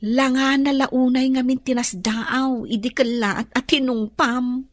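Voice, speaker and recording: A woman's voice: sits high, fairly even intonation, measured pace, dry recording. A woman with an Ilocano Filipino accent playing a curious, chatty young friend in a radio drama. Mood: puzzled, confiding.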